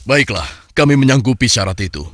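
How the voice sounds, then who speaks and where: A man's voice: mid-range voice, animated, a little fast, a little hiss or hum, close to an everyday voice. A man playing an eager, proud, wealthy nobleman in a religious cartoon. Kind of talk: cartoon religious story dialogue.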